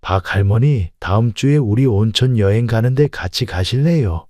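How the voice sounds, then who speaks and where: A man's voice: fairly low pitch, normal speed, darkish tone, no echo, clean studio sound, natural voice. A man voicing a hearty, inviting market vendor and neighbor in an audio drama. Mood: friendly.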